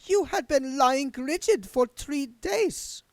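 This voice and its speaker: A man's voice: very high-pitched, expressive, slow pace, dry recording, voice pushed up, full character voice. A man voicing a haughty older woman, an affected, stiff vampire countess in a book read aloud. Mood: stiff, defensive.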